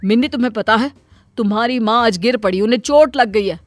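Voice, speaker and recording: A woman's voice: fairly high pitch, fairly quick, soft background music, lightly acted. A woman voicing a stern middle-aged father in a cartoon story, who scolds his spoiled daughter and his wife. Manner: scolding, blunt.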